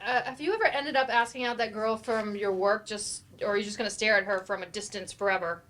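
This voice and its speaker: A woman's voice: mid-range voice, fairly even intonation, fast, fairly bright tone, natural voice. A woman in a staged play reading, playing a wry, teasing young student who hopes to go into nursing. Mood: chiding, teasing.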